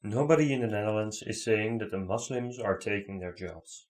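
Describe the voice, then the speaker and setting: A man's voice: lowish voice, moderate pace, a little mellow. A man commenting on a video clip, rebutting it in an earnest, argumentative way.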